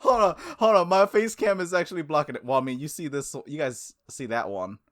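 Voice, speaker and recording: A man reading a visual novel aloud as a game streamer, expressive, with casual slangy reactions. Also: a little high, brisk, dry recording, studio quality. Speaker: game streamer, let's-play host.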